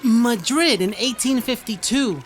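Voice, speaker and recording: A woman's voice: fairly high pitch, expressive, a little slow, slight background noise. A woman playing an earnest young heroine in an audio drama, patiently explaining a secret to a friend.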